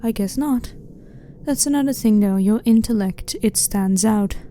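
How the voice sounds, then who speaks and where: A woman playing a blunt, curious alien scientist in a soft-spoken ASMR roleplay, speaking in a calm, clinical, slightly formal way. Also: mid-range voice, unhurried, a little hiss or hum, close to an everyday voice.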